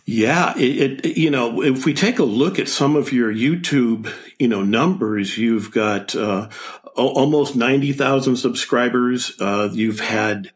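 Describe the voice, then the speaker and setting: A man hosting a conversational interview, a bit halting and repeating words as he goes. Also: mid-range voice. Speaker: interviewer, host.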